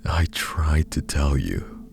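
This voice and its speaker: Man voicing a very deep, gravelly undead creature. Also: very animated, noisy background, full character voice. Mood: grave, compassionate. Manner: slow, grave, terse. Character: undead male creature, compassionate, despairing, ominous. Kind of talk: horror story character narration. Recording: music behind the voice.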